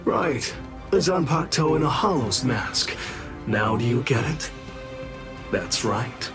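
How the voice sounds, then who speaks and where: An actor in an English anime game dub playing a cocky, teasing masked Soul Reaper with a sardonic, theatrical delivery. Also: male voice, mid-range voice, lively intonation, takes it slowly. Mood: smug, taunting. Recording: music behind the voice.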